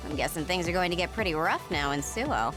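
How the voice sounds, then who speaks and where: A woman's voice: on the low side, lively intonation, brisk, over music, lightly acted, rough recording. An actress playing a cool, wry, battle-minded woman soldier in a video game. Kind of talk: English video game voice acting.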